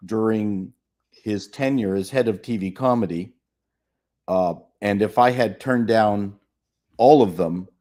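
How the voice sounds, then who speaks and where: A man's voice: on the low side, a little mellow. A former television comedy executive, a man, chatting on a panel and often stopping to search for words. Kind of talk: casual panel chat.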